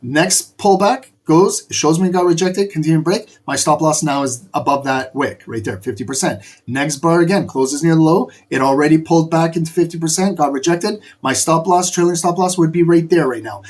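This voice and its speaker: A man's voice: fairly high pitch, a little mellow. A man who is losing his voice, hosting a live trading stream and coaching viewers in short, repeated bursts while he points at a chart.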